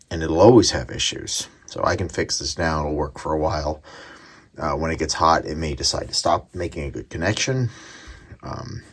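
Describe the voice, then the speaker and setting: A man's voice: a bass voice, slightly rough. A man giving casual, hands-on repair commentary in a plainspoken, mildly grumbling way. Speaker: radio repair hobbyist, channel host. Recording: radio music playing behind voice. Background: music from a radio being repaired.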